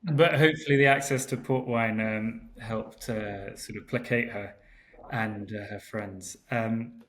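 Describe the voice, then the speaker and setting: Soft-spoken man with faint background hiss. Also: lowish voice, a little slow. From Portugal. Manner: relaxed, hesitant, many ums and restarts. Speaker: guest winemaker. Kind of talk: casual interview chat. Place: home in Porto, remote call.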